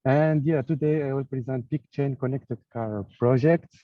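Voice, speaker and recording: A man's voice: medium pitch, moderate pace. A man from Tunisia presents his project at an online conference, talking hesitantly with lots of fillers and restarts. He is on a tablet video call from a hotel room. The sound is thin and phone-like, with a dry, close feel and no room echo.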